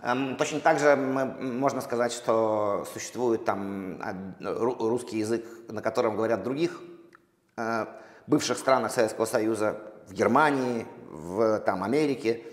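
A man from Russia, a poet, answering interview questions slowly and thoughtfully, with many pauses and restarts. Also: medium pitch. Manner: halting, many fillers and restarts. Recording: echoey room with long reverb.

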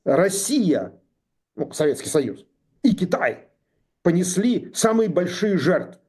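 A man in his sixties talking politics, indignant and sarcastic, with halting speech full of pauses and fillers. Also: sits high, very animated, a little mellow, slightly rough. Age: 60s. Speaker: political commentator. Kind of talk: political discussion.

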